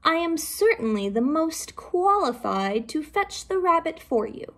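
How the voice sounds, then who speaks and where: A woman voicing a proud, eager male turtle with a gravelly voice for a storybook. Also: sits high, sing-song, measured pace, voice pushed up, full character voice. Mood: boastful, eager.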